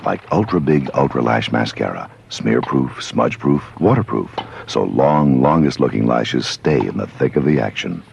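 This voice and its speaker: A man's voice: extremely low pitch, expressive, moderate pace, creaky, hardly any pauses, a little mellow. A man reading a radio commercial as announcer, in short clipped phrases. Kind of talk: clipped ad voice-over. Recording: dull, faintly noisy old radio recording.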